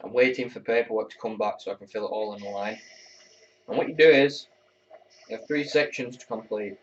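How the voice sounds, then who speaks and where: A man's voice: lowish voice, fairly even intonation, brisk, recorded from a distance, slight background noise. Teen guy, air cadet corporal, casual rambling vlog, swears a bit, mic set back, dull muffled sound. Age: teenager. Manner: candid, rambling, swears when annoyed. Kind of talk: casual talk to camera.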